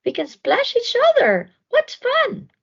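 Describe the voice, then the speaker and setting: A woman's voice: extremely high pitch, wildly swooping pitch, slow pace, vocal fry, lo-fi sound, pitched above the performer's own voice, exaggerated voice. A woman voicing cheerful young animals in a storybook, eager and bouncy as they invite someone to play. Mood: excited, playful.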